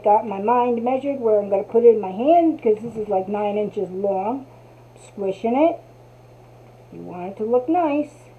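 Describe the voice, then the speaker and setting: A woman from New Jersey with a Jersey accent, casually demonstrating a craft and chatting to herself as she works. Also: medium pitch. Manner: chatty, talks to herself while working. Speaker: craft tutorial host. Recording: tinny, phone-like audio.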